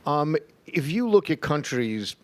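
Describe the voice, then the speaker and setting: Male rabbi asking a deliberate question. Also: on the high side, sing-song. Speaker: rabbi, panelist. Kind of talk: panel discussion.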